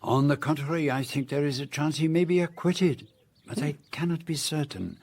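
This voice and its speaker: An actor playing a shrewd, fussy middle-aged Belgian detective in a radio drama, with a French-speaking Belgian accent and measured, courtly phrasing. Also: male voice, on the high side, a little mellow. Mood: musing, measured.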